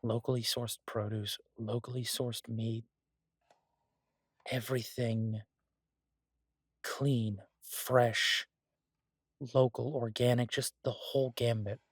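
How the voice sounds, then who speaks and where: A man playing an easygoing, earnest chef boyfriend in an intimate fantasy audio roleplay, talking in a halting, reassuring, conversational way. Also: mid-range voice, flat delivery, dry recording, studio quality. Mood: hopeful, dreamy.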